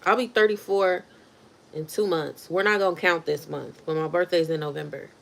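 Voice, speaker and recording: Casting note: thirties woman, lowish voice, loose chatty livestream host answering comments. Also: steady tone, normal speed, creaky. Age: 30s. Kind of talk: casual livestream chat.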